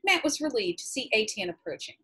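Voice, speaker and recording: An American woman in her forties with a husky voice, a teacher reading a novel aloud expressively and adding explanatory asides. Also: on the high side, big pitch swings, brisk, bright, forceful tone. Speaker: high school English teacher reading to class. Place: small room at home, indoors.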